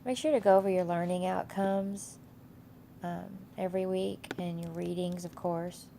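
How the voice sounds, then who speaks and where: Woman instructor, stuffy with a cold, gravelly and flat, rambling and full of fillers. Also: on the low side, normal speed, background noise. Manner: rambling with many fillers and restarts. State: has a cold, stuffy nose. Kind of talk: casual screen-share course walkthrough.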